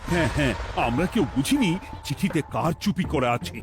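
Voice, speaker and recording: An actor playing a proud, easily flustered Maharaja in a cartoon, expressive and quick to boast or take offence. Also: male voice, a little high. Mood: flustered, indignant. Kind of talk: cartoon voice acting. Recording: music behind the voice.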